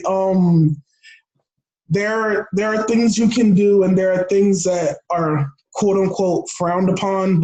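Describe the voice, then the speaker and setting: A young American man talking casually as an interview guest, excitable and rambling, often restarting his phrases. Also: sits high, low-quality recording. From Florida, United States. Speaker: podcast guest.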